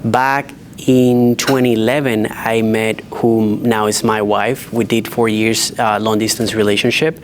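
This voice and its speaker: A man from the Dominican Republic, a real estate agent speaking on a panel and telling his career story in an earnest, measured way. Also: lowish voice, flat delivery, a little hiss or hum. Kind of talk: panel discussion.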